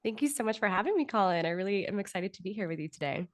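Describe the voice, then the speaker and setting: A woman's voice: mid-range voice, expressive, racing, no echo, studio quality. A woman speaking as an interview guest, explaining her own creative work conversationally with loose asides. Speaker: podcast guest, writer and audio drama producer.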